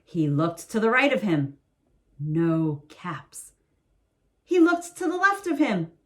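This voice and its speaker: A woman reading a picture book aloud as a bedtime story, in a slow, soothing, expressive way. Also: lowish voice.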